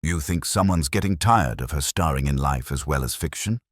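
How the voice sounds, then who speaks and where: A man's voice: a bass voice, expressive, normal speed, no room sound, clean studio sound. A man voicing an eager, restless police sergeant in a mystery story, earnest and full of questions. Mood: eager, speculative.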